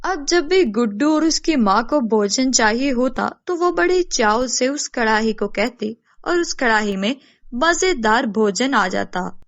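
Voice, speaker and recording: Unhurried woman storyteller. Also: on the high side, animated, no echo, highs cut off. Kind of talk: scripted children's story narration.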